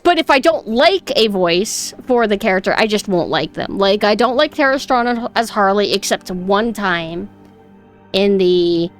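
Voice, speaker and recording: A young woman with a high voice, chatting casually and snarkily on a stream, her close mic clipping on loud peaks. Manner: chatty, rambling, mockingly snarky. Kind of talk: casual reaction stream chat. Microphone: close mic that clips loud peaks.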